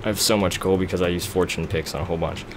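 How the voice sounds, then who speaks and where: Young man, deep voice, rambling self-deprecating gameplay commentary, noisy home mic. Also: steady tone, rapid-fire. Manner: rambling, self-deprecating, talks to himself while building. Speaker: let's play gamer.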